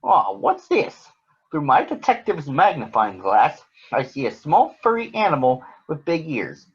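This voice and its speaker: A man's voice: on the high side, wildly swooping pitch, unhurried, slightly rough, muffled recording, voice pushed up, full character voice. A man voicing a pompous, jolly, eccentric detective in a theatrical way for a children's storybook. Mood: inspecting grandly. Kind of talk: children's storybook read-aloud.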